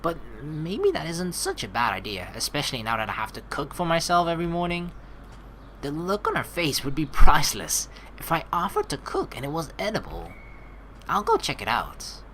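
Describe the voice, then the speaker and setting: A woman's voice: sits low, huge pitch swings, normal speed, fairly bright tone, a little hiss or hum. A young woman reading a game aloud as a streamer, adding chatty, casual asides as she goes. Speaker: let's play streamer. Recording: faint game music behind.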